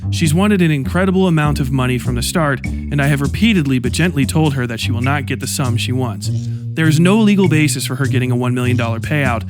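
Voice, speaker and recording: A man reading a scripted video essay narration in a pointed, accusatory way. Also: fairly high pitch. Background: music underneath.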